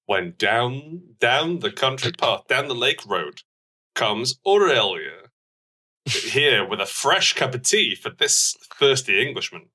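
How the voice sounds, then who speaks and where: A woman adding improvised comic narration to a film retelling, in an animated way. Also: extremely low pitch, slow pace, low-quality recording. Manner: lively, animated asides. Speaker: comedy podcast co-host.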